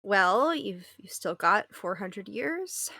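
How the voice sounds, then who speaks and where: A woman's voice: mid-range voice, big pitch swings, slow pace, vocal fry, pushed and bright, dry recording, clean studio sound, full character voice. A woman acting an affectionate, teasing wife in a naturalistic audio drama table read, conversational and playful. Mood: tender. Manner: conversational, playful, explains with examples. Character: affectionate, teasing wife consoling and humoring her partner.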